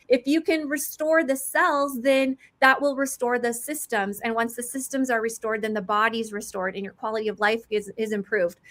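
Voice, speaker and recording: A woman in her forties with a bright, forceful voice pitched a bit high. She talks in a chatty, eager way, like a seller walking someone through her products. Her speech is quick with detail and self-described nerdiness. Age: 40s. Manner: chatty and eager, calls herself a nerd. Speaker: mushroom supplement seller.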